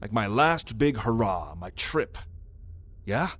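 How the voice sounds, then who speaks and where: A man's voice: fairly low pitch, sing-song, a bit bright, exaggerated voice. A man voicing a lazy, playful old male dragon in an ASMR roleplay, with a teasing, sardonic drawl. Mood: playful, eager. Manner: unhurried, teasing, chuckling drawl. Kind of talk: ASMR roleplay addressed to the listener.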